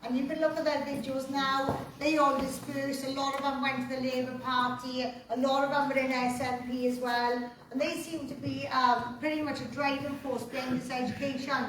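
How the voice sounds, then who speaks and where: A woman giving a public talk and answering questions in a forceful, plain-spoken, combative way. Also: high-pitched, little pitch movement, normal speed, few pauses. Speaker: presenter. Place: chapel hall. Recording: echoey hall from far off with faint noise.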